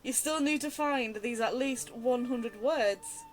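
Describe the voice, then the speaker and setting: Rambling young woman, slightly high voice. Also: slow pace, faint music behind, poor audio. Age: young adult. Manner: chatty, digressive, self-deprecating. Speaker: game streamer. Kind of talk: casual rambling game commentary.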